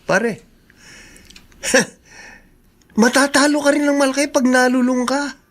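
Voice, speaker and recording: A man's voice: extremely high pitch, steady tone, slow pace, a bit bright, soft background music, heavily acted. An actor playing a frank, cautioning male friend in a Filipino radio drama, speaking with a Filipino accent. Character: frank male buddy tattling with a warning.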